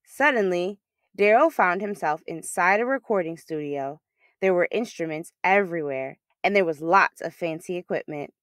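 A woman reading a children's picture book aloud as a storyteller, with expressive, emphatic delivery. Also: lowish voice, moderate pace, no echo, clean studio sound.

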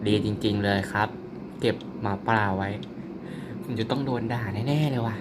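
A young man commentating his own mobile werewolf game in a chatty, excitable way, polite but slipping into laughter. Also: fairly low pitch, moderate pace, background noise. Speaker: gaming YouTuber.

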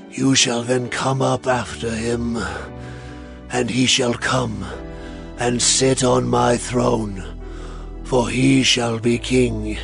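An actor playing an old, solemn king in a dramatized audio Bible, with a gravelly voice and halting, deliberate phrasing. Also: medium pitch. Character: old, solemn, dying king issuing royal commands.

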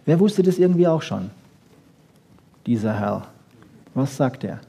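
A man giving a popular science lecture with wry humour, rhetorical questions and short checking tags, in a husky voice. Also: medium pitch, sing-song, unhurried, dark tone. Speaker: lecturer on brain research.

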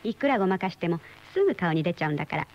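Mocking wife, fast, swooping intonation, knowing, scolding, old radio drama. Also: female voice, lowish voice, very animated, muffled recording. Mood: teasing, accusing. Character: teasing, needling, sharp-eyed wife. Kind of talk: Japanese radio drama dialogue.

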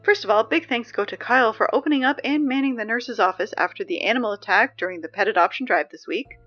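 A woman's voice: fairly high pitch, fast talker, lo-fi sound, faint music behind, natural voice. A woman playing a chatty young school announcer who keeps getting flustered, in an audio drama. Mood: chipper. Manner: chatty, growing flustered and panicky.

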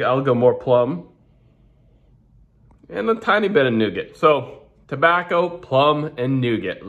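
A man talks slowly and loosely, restarting his sentences as he works. His pitch sits in a plain middle range. He is recorded on a phone camera in a home kitchen. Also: fairly bright tone. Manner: rambling, restarts often, talks while working. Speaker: coffee reviewer. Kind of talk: casual home coffee review.

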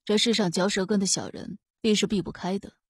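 A woman voicing a cool, haughty young male imperial guard officer, calm and unhurried with dry sarcasm. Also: mid-range voice, slightly rough, no echo. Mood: aloof, aggrieved.

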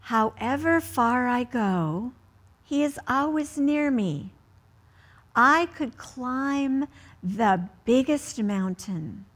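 An American woman in her sixties speaking to children as a church story time host, in deliberate phrases with pauses. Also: fairly high pitch, lively intonation. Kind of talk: read-aloud storytelling and prayer for children. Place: indoors, seated in a church.

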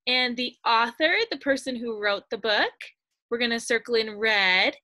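Bright, high-voiced woman reading a picture book. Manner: expressive, sing-song storytelling with drawn-out line endings. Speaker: teacher reading to young children. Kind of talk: animated picture-book read-aloud for children. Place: indoors at a computer, screen-share video.

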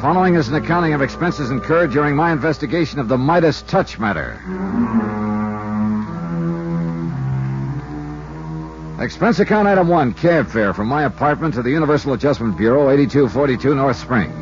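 A man's voice: medium pitch, expressive, fast talker, few pauses, close to an everyday voice. An actor playing a breezy, wisecracking male insurance investigator who narrates his own case in a 1950s radio drama. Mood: crisp, dry narration. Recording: dull 1950s radio recording with music.

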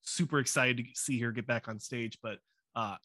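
Bright-voiced host with a Wisconsin accent. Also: male voice, medium pitch, fairly even intonation, normal speed, no room sound. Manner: friendly, rambling, self-deprecating. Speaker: podcast host. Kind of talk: casual interview chat. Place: home studio with comic shelves. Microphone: desk podcast mic.

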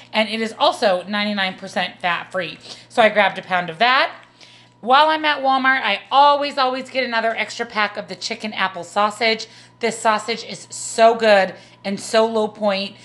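A woman's voice: a little high, pushed and bright. A woman in her thirties with an American accent, casually narrating a haul video at home as a vlogger.

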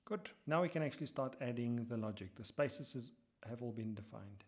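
A man talking through a software tutorial step by step as he works, muttering some lines to himself, with keyboard typing behind him. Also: mid-range voice, brisk. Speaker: lecturer.

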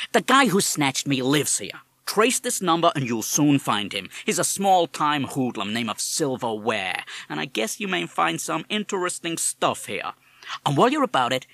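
A man's voice: a little high, fairly bright tone, dry recording, voice pushed up, heavily acted. A man doing a brash gangster-talking parrot. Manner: brash American gangster slang. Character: streetwise tough-talking male parrot.

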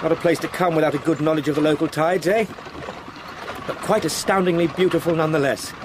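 An actor playing an eager, polite young English solicitor in a radio drama, speaking formally with small hesitant asides. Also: male voice, on the high side, steady tone, quick pace, natural voice. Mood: curious, awed. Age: young adult. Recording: noisy outdoor sound-effect background.

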